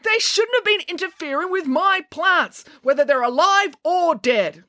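A woman voicing a blustering, angry retired army groundskeeper in an audiobook, yelling with indignation. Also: extremely high pitch, expressive, measured pace, dry recording, studio quality, pitched above the performer's own voice, full character voice. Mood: furious.

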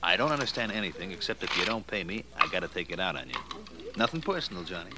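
A man's voice: sits low, big pitch swings, quick pace, very noisy. An actor playing a coolly menacing male loan shark in a 1940s radio crime drama, talking tough street slang. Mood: calm menace.

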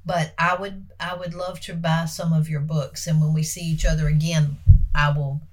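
A woman's voice: deep voice, level tone, moderate pace. A woman interviewer who is losing her voice, asking long, admiring questions in a casual way.

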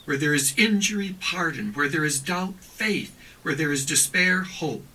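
A man recites a prayer in measured phrases, as a priest. His voice is bright and a little high, picked up slightly away from the microphone. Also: recorded from a distance, low-quality recording.

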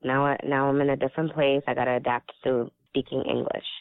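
A man's voice: mid-range voice, little pitch movement, quick pace, clean tone, no room sound. A man raised speaking Swahili as his first language, telling his story in an earnest, reflective casual chat. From Africa. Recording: tinny phone-like sound.

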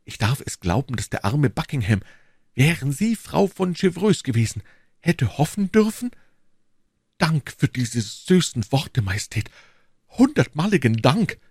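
A man's voice: on the high side, sing-song, unhurried, darkish tone, husky, no echo, studio quality, voice pushed up, exaggerated voice. A man voicing a passionate, lovestruck English duke from a classic novel, pleading and fatalistic in an ardent, courtly, declamatory manner.

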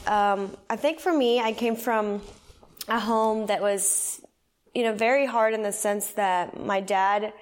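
Woman, 30s, raised in El Salvador; earnest, conversational testimony, mid pitch. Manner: earnest and conversational, with many fillers. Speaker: guest sharing her testimony. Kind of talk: personal faith testimony.

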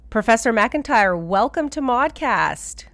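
A woman's voice: a little high, expressive, measured pace, a bit bright, no echo. A woman hosting a podcast, introducing her guest and asking questions in a friendly, conversational way. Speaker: podcast host. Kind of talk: podcast interview.

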